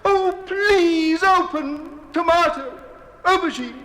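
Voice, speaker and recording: A man's voice: very high-pitched, slow, sharp tone, heavily acted. An actor playing a greedy, easily flustered man in a theatrical children's story record from the 1960s. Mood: desperate, frantic. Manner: theatrical, increasingly frantic and pleading. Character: greedy, flustered Persian man trapped in a cave. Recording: long cavernous reverb.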